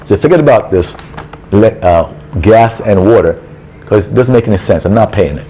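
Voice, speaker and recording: Exasperated man telling a story, mellow low voice. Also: normal speed, a little hiss or hum. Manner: exasperated, animated retelling with quoted dialogue. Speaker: travel vlogger. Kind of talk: casual storytelling rant. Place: apartment building in a city.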